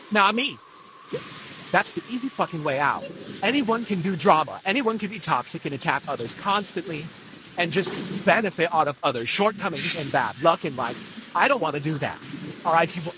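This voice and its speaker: A man's voice: fairly high pitch, background noise. A middle-aged man streaming from his home room into a desk microphone, talking casually and slipping into an angry, swearing rant. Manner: talkative, ranting, swears freely. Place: home room with window and fans. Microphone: desk microphone at normal distance.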